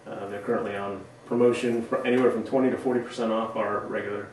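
A man's voice: fairly low pitch, fairly even intonation, quick pace, a little mellow, a little hiss or hum. Guy in his 30s pushing a shoe sale, far off mic, lots of echo. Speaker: co-host. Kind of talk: casual promo talk. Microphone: far camera. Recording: echoey room from a distant mic.

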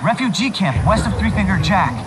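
An overwhelmed young volunteer in a game cutscene. His voice is high and lively, with a nervous, repetitive delivery. Engine noise is clearly audible around him. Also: a little fast. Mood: hurried. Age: young adult. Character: panicky young male grad student, overwhelmed reluctant volunteer. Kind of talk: cinematic video game acting.

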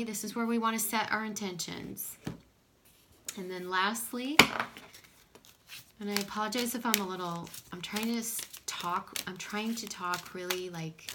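A woman giving a casual oracle card reading in a small room at home, her voice a little scratchy from pollen, soft-spoken and sometimes dropping to a whisper. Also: mid-range voice, measured pace, long pauses. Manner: soft-spoken, drifting into whispers, frequent "okay?". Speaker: spiritual card reader.

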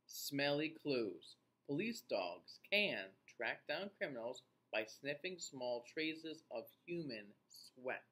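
A man in his forties reading a picture book aloud to young children in an expressive, teacher-like way, with playful asides. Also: mid-range voice, slow, few pauses. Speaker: children's read-aloud host. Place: small indoor room.

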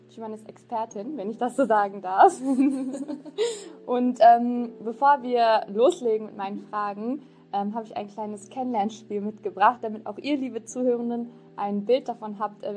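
A woman's voice: on the high side, moderate pace, mellow, a little hiss or hum. A woman hosting a podcast interview, upbeat and laughing often, in a casual chat. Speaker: podcast host. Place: adult education center.

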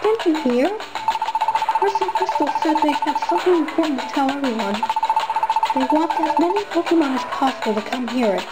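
A woman voicing a brisk, matter-of-fact, mildly scolding female swan shopkeeper in a video game fandub. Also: high voice, animated, voice pushed up, poor audio. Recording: music playing loudly behind.

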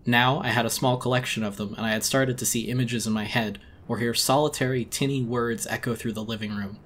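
Male horror audiobook narrator: medium pitch, world-weary, brooding, dramatic first-person read. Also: fairly even intonation, fairly quick, a bit bright, a little hiss or hum.

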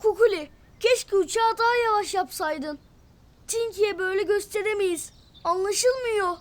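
A woman voicing a small, kind-hearted, eager young cartoon animal in an expressive, childlike way for a children's show. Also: very high voice, a little slow. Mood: excited, chatty. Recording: music behind the voice. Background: cartoon music.

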